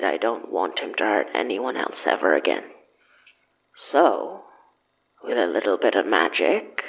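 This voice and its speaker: A vengeful, sardonic jailer from a horror audio drama. The voice is gravelly and unhurried, swinging widely in pitch with deliberate, bitter weight. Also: male voice, fairly bright tone, heavily acted, rough recording. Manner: slow, deliberate, calls listener "dove". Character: sardonic, deliberate ghostly jailer guarding forbidden books, vengeful.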